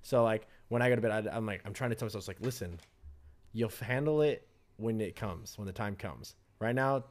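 A man's voice: lowish voice, a little fast. A man co-hosting a casual talk show, rambling loosely with swearing and joking asides. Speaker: podcast co-host. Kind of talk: casual chat.

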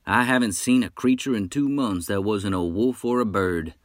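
A man putting on a bragging frontier wolf hunter who never stops talking, his voice pushed up higher and louder than the narration, folksy and brash. The recording is dry and close, with no room around it. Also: normal speed, dry recording, natural voice. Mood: boastful. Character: boastful talkative male wolf hunter, adult.

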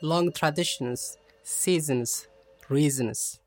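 A man playing a solemn corridor inhabitant, reciting measured poetic lines in a radio play. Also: on the high side, faint music behind. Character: solemn male inhabitant reciting of ages and traditions.

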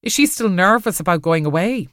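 A woman narrator voices a devoted, caring man in a storybook. He sounds earnest and reassuring, with lively intonation, recorded dry and close. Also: on the low side, a little fast, no room sound. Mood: concerned. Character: devoted, happy, reassuring man, protective of partner.

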